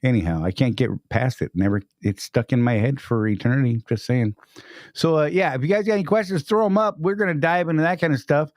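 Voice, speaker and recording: A man's voice: medium pitch, brisk, no echo, studio quality. A man hosting a casual live stream, upbeat and greeting chat viewers by name.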